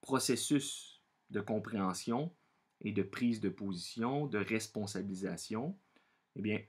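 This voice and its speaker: A man from Quebec with a Quebec French accent, speaking earnestly and off the cuff as a vlogger, often pausing and repeating himself as he searches for words. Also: fairly low pitch, husky. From Quebec, Canada. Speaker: vlogger sharing personal opinions. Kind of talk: unscripted monologue to camera.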